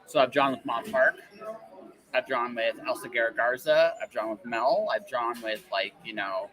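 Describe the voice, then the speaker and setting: A man hosts a casual interview show with a mid-range voice. He talks in a rambling, emphatic way, checking in as he goes. Crowd chatter sits clearly behind him. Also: medium pitch, normal speed. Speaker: podcast host.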